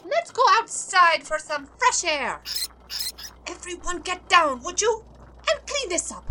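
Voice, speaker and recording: A gentle, animal-loving cartoon pirate, played by a woman. She speaks in a soothing, coaxing way, high and slow, with faint noise behind her. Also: sing-song, heavily acted.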